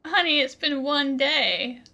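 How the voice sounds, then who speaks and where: Bright, lively high-voiced woman gamer. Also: takes it slowly. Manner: chatty, reacts aloud to game characters. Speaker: gaming streamer. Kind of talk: casual gameplay commentary.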